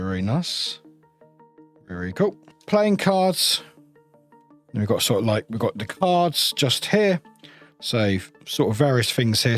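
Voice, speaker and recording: Animated man chatting through an unboxing. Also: medium pitch. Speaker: reviewer hosting an unboxing channel. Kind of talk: casual unboxing commentary.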